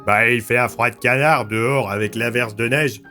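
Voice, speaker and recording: An actor playing an easygoing, joking police lieutenant in an audio drama, casual and wry. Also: male voice, mid-range voice, steady tone, normal speed, bright, forceful tone, soft background music, lightly acted.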